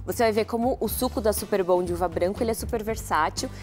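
A Brazilian woman in her thirties speaks at a medium pitch. She explains things conversationally as a guest cook on a television programme, with faint music underneath. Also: fast, a little mellow. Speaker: guest company representative and cook. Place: TV kitchen studio.

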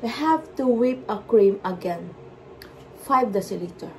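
A woman's voice: mid-range voice, gravelly, a little hiss or hum. A woman with a Filipino accent narrating casually as she bakes a cake in her home kitchen, mixing English and Tagalog. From Philippines. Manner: slow, mixes English and Tagalog, relaxed step-by-step commentary. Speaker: home baking vlogger.

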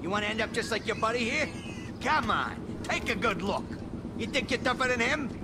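Game villain: cocky American gangster, taunting, wide swinging pitch, noisy ambience. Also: male voice, lively intonation, normal speed. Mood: threatening interrogation. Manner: taunting, foul-mouthed street talk. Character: menacing, sneering mobster, cruel and cocky. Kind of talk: video game cutscene acting. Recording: clear background noise, rumbling room ambience.

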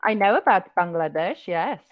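A woman's voice: on the low side, animated, normal speed. A young woman from Africa, tutoring English on a video call and patiently asking simple questions through a headset. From South Africa. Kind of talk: casual video-call conversation practice. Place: indoor room. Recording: muffled video-call audio.

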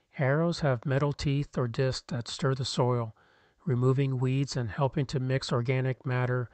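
A man reading a scripted countdown narration in a steady, measured explainer manner, recorded as a close-mic voice-over. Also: medium pitch, no echo.

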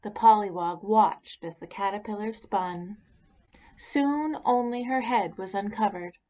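A woman narrating a children's picture book aloud in an expressive, deliberate way. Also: medium pitch. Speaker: children's story reader. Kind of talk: read-aloud storybook narration.

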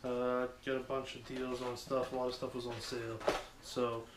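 A man's voice: medium pitch, moderate pace. A young man hosting a fishing channel, talking casually with lots of fillers as he unboxes gear in a small dim living room, recorded by a camera across the room. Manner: relaxed, rambling with many fillers. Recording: echoey room with background noise.

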